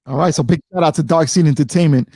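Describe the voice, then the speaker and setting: A man's voice: fairly high pitch, fast talker, warm tone, no room sound, studio quality. A man hosting a casual chat show, upbeat as he steers the segments. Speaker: host.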